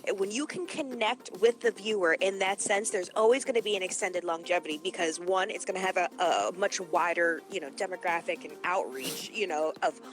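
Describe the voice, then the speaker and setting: A woman's voice: fairly low pitch, brisk, few pauses, soft background music. A woman in a casual livestream discussion, explaining her analysis earnestly and at length.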